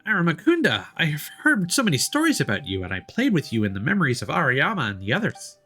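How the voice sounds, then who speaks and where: Man doing an earnest little forest spirit, pitched up. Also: huge pitch swings, fast, pushed and bright, soft background music, full character voice. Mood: admiring. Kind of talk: voicing video game dialogue.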